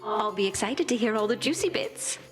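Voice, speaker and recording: An actress playing an elegant, flirtatious goddess of love in a video game, coy, teasing and theatrical. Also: female voice, mid-range voice, animated, a little fast, music in the background, close to an everyday voice. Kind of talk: video game character acting.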